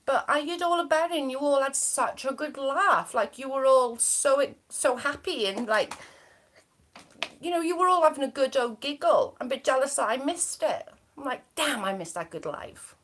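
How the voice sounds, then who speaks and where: A woman's voice: high voice, lively intonation, normal speed, a bit bright. A woman with a Welsh accent from near the English border, hosting a livestream and chatting in a bubbly, gossipy way while reading out viewer comments. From Wales. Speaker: livestream host.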